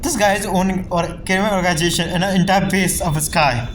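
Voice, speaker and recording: A man with a fairly high voice gives casual gameplay reactions as a streamer. The recording has clear background noise. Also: fast, a bit bright, low-quality recording.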